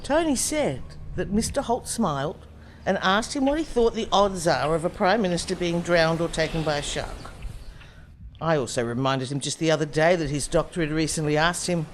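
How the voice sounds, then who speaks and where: A woman's voice: on the low side, animated, normal speed, slight background noise, close to an everyday voice. A woman playing a concerned, composed friend being interviewed as a witness in a radio play.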